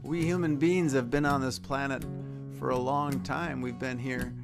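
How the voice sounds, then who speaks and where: A man's voice: mid-range voice, steady tone, normal speed, creaky. An American man from Minnesota talking casually and conversationally as a singer-songwriter introducing a song and teaching a sing-along. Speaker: singer-songwriter and guest performer. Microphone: performing over an online video stream. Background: acoustic guitar being strummed and tuned.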